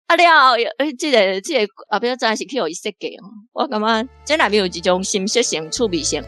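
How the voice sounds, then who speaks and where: Animated woman guest from Taiwan. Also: medium pitch, brisk, soft background music. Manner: animated, laughs often, restarts phrases. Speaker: guest reader discussing the drama. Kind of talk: casual on-air discussion.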